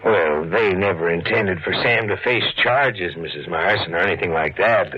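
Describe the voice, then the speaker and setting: Low-voiced, plain-spoken husky cop. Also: male voice, normal speed. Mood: explaining, earnest. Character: plain-spoken, steady male police officer. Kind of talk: 1940s radio acting. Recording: dull lo-fi 1940s radio recording.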